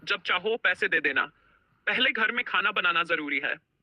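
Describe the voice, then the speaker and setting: A woman voicing a kind, honest male village shopkeeper in a storybook cartoon, gentle and calm. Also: fairly low pitch, moderate pace, dry recording, muffled recording.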